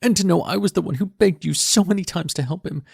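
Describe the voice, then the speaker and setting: A man voicing a distraught teenage daughter who shouts accusations through tears. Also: mid-range voice, very animated, a little slow, gravelly, slightly rough, no room sound, clean studio sound, voice pushed up, exaggerated voice. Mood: tearful outrage.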